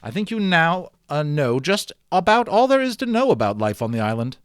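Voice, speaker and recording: A man voicing a friendly, curious gentleman in a storybook, speaking in a polite, enthusiastic, expressive way. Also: on the high side, normal speed, clean tone, dry recording, studio quality, voice pushed up. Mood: inquisitive.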